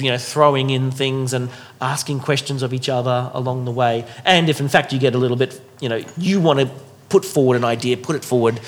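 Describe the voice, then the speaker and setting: A man welcomes a dinner group in a relaxed, meandering way. His voice sits at an ordinary middle pitch, and his sentences often circle back through fillers and restarts. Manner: loose and rambling, with many fillers and restarts. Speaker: host of a dinner discussion. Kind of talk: casual welcoming talk to a group.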